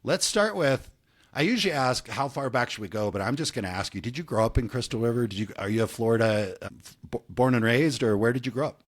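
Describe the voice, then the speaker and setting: A man's voice: mid-range voice, animated, quick pace. Male podcast host, chatty, roundabout questioner. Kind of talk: casual interview questions.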